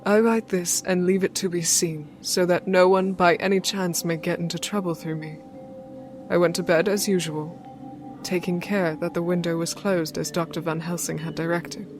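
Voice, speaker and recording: Actress as a frightened, low-pitched young lady. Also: fairly even intonation, moderate pace, lightly acted. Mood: mounting dread. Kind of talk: old-time radio drama acting. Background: prominent music.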